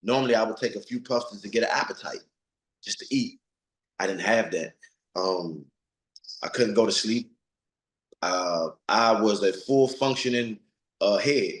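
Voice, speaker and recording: A man's voice: lowish voice, unhurried, pauses often, roomy sound, poor audio. A man of forty, a retired boxer, giving blunt, streetwise interview answers and repeating himself for emphasis. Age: 40s.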